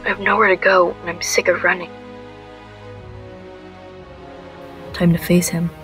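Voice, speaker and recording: A woman's voice: lowish voice, little pitch movement, quick pace, a bit bright, faint music behind, natural voice. A woman playing a defiant, anguished young heroine in a radio drama, earnest and dramatic in dialogue and first-person narration. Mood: bitter resolve.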